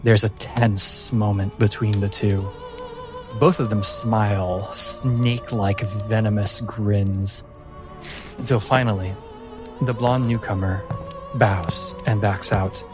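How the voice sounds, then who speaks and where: Measured male game master over faint music. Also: lowish voice. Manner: measured, deliberate storytelling with pauses. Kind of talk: dramatic tabletop game narration.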